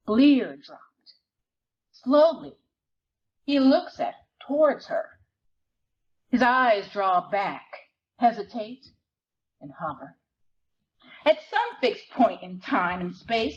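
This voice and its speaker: A woman reading literary fiction dramatically, in measured, deliberate phrases with weighted pauses. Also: mid-range voice, muffled recording. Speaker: dramatic reader at a library performance. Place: live reading at a library event.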